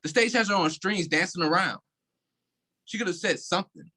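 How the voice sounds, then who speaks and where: An actor playing a withdrawn, bitter police officer in a staged play reading, giving short, clipped replies. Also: male voice, sits high, normal speed, bright, forceful tone. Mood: angry. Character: weary, guarded police officer, curt and bitter.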